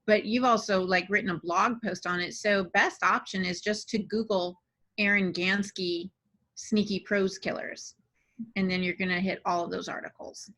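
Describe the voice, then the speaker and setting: A woman's voice: medium pitch, steady tone, moderate pace, fairly bright tone, rough recording. A woman chatting casually as a podcast co-host about the craft of writing. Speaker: podcast co-host and fiction writer.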